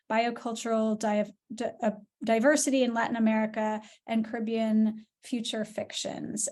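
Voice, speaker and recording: A woman moderator introducing a guest speaker on a video call, friendly and halting, with many fillers. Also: a little high, darkish tone.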